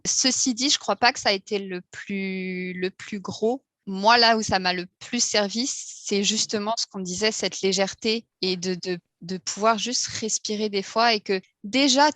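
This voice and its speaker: A woman's voice: mid-range voice, pushed and bright, no echo. A woman, a podcast guest who lived through cancer, speaking candidly and lightly. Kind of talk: casual podcast conversation.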